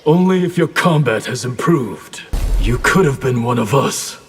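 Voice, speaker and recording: A solemn ninja mentor from a fighting game. His mid-pitched voice is thick with creak and stays measured and guarded. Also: lively intonation, faint music behind. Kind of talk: video game voice acting.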